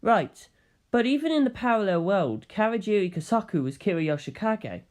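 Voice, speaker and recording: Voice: medium pitch, sing-song, normal speed, darkish tone, natural voice. A narrator voicing an earnest young male detective who questions and objects insistently. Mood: insistent, doubting.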